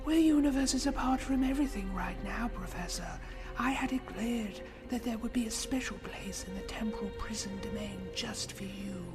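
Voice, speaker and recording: A woman's voice: fairly high pitch, music in the background, natural voice. A woman playing a gloating, sadistic villainous jailer in an audio drama, savoring her words and dropping into whispers. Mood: gloating, menacing. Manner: slow, savoring, breathy whispers at phrase ends.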